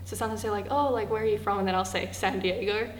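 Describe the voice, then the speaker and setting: A teenage girl with an American accent, talking quickly and chattily with lots of fillers. Her voice echoes in the room over a faint hum. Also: mid-range voice, fairly even intonation. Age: teens. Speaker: interviewee. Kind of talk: documentary interview, casual.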